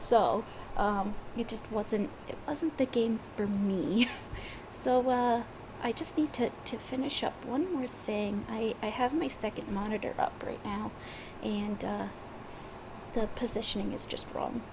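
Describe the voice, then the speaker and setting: A woman's voice: fairly high pitch, measured pace, noisy background. A woman with a head cold chatting before a gaming session, hesitant and full of fillers. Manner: hesitant, many fillers and restarts. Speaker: gaming streamer. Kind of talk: casual chat before a gameplay session.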